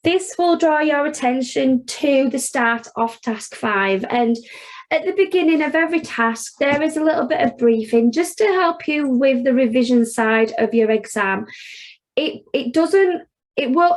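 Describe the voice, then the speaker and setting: A woman's voice: a little high, a little mellow, rough recording. A woman with a Yorkshire accent, an accounting tutor leading a live online revision class, talking casually and filling gaps with "okay" and "um". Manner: chatty, frequent "okay" and "um", talks students through steps.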